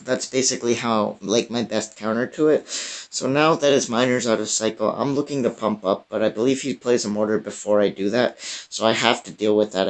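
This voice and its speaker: A young man talks through his game footage in a loose, chatty way, with plenty of ums and restarts. His voice is raspy and strained. He sounds like a gaming creator narrating his own match. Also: lowish voice, low-quality recording. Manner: casual, many fillers and restarts. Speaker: gaming YouTuber. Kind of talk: casual gameplay commentary.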